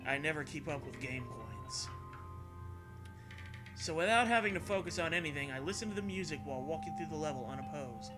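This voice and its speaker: Higher-voiced man, sarcastic and profane, over music. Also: a little fast. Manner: profane, sarcastic, excitable, laughs often. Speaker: host reading internet horror stories aloud. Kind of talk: mocking read-aloud with joking commentary. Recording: music behind the voice.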